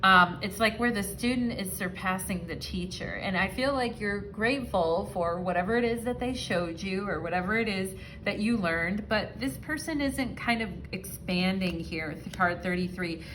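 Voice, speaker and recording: A woman's voice: medium pitch, moderate pace, hardly any pauses, a bit bright, slight background noise, low-quality recording. A woman giving a casual, rambling tarot reading to her viewers, often checking in with "okay" and "you know". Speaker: tarot reader.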